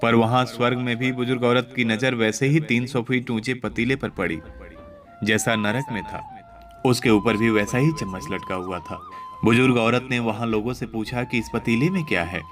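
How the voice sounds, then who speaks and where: Male storyteller, mid-pitched, expressive and instructive, delivering a moral tale with music underneath. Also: normal speed. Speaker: narrator of devotional moral stories. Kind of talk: spoken moral storytelling with preachy address to listeners. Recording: clear background music under voice.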